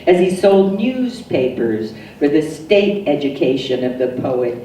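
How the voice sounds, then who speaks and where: An older American woman from New Jersey reads poetry dramatically, with solemn, deliberate phrasing and pauses. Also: deep voice, sing-song, dark tone, echoey room, distant mic, slight background noise, rough recording. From Newark, New Jersey, USA. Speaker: poet.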